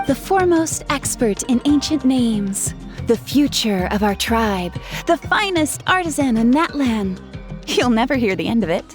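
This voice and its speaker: An earnest, friendly gem artisan in a video game. She talks through her story in a chatty, open way, with a voice a little higher than most women's. Faint game music plays underneath. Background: game soundtrack music.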